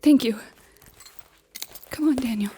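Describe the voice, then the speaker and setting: A woman playing a sorrowful, apologetic mother in an audio drama, speaking gently and hesitantly. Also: sits high, slow pace, breathy edge, full character voice.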